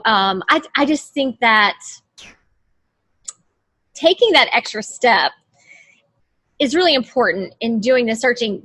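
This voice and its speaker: A woman's voice: on the high side, bright, forceful tone. A woman from the United States, a trademark lawyer, talking through her screen on a video call in a chatty way, often restarting her phrases. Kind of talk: casual explanatory chat over screen share.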